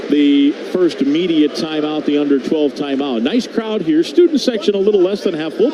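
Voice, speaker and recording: A man's voice: fairly high pitch, low-quality recording. A man giving live radio-style basketball play-by-play as the commentator, in clipped running descriptions that lift on big plays. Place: basketball arena, courtside.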